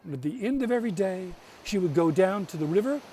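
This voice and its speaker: A man telling a folk tale as a storyteller, theatrical, with deliberate pauses. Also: high voice, expressive, dark tone, slightly rough, far from the mic.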